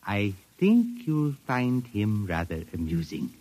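Older clinic director: formal, unctuous, hesitant, very varied intonation, vintage radio drama style. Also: male voice, fairly low pitch, big pitch swings, slow, mellow, heavily acted. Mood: politely evasive. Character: small, older, genteel male sanitarium director, evasively polite. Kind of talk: old-time radio drama acting.

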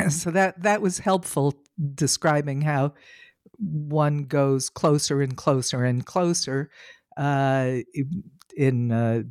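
An interviewer asking measured, deliberate questions. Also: mid-range voice, a little mellow, no room sound, studio quality.

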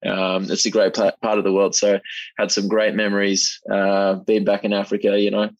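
Young man, low flat voice, modest, halting, dull lo-fi remote line. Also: dry recording. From Zimbabwe. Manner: modest, repeats words while thinking. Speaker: rugby player guest. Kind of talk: casual interview answers.

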